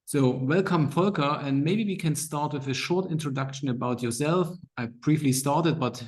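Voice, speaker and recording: A man's voice: fairly high pitch, moderate pace, darkish tone. A man hosting a business podcast, introducing his guest with enthusiasm. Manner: enthusiastic, repeats "really". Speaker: podcast host.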